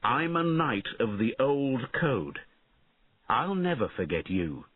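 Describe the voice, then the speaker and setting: A man's voice: mid-range voice, sing-song, slow, dry recording, phone-quality sound, pitched above the performer's own voice. A man voicing a proud, money-minded knight in a storybook, bold and emphatic. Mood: defiant. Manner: emphatic, declaiming. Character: proud, mercenary male knight, bold and disillusioned.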